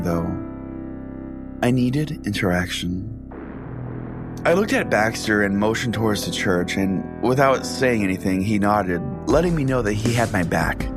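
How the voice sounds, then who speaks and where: A man hosting a comedy podcast, narrating a scripted first-person tale in a theatrical, earnest storytelling way. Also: on the low side. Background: music bed under the voice.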